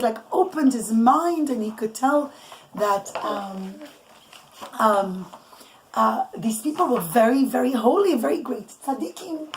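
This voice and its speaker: A mother telling an informal, improvised religious bedtime story in an animated, conversational way, mixing in Hebrew and Yiddish terms. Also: sits high, rough recording. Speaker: mother telling bedtime stories to children and listeners. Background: noise, clicks, small children nearby.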